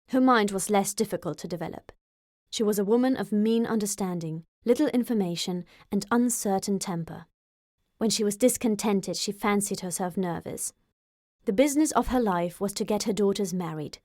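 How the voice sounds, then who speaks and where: A woman's voice: mid-range voice, a little mellow, no echo, studio quality. A woman with a British accent reading a classic novel as scripted audiobook narration.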